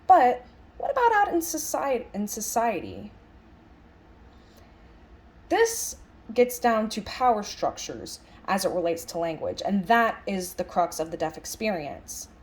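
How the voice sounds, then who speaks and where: A woman's voice: mid-range voice, sing-song, measured pace. A woman interpreting a lecture aloud in a conversational, reflective style, musing with emphatic phrasing and rhetorical questions. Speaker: sign language interpreter voicing a signed lecture.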